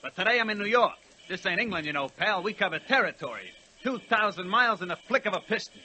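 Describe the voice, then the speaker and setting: A man's voice: high voice, expressive, fast, bright, forceful tone, exaggerated voice, low-quality recording. An actor playing a brash, combative American express train with slangy tough-guy talk in an old radio play. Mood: scornful. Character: brash, streetwise male American express locomotive. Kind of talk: 1940s radio play acting. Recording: hissy 1940s radio recording.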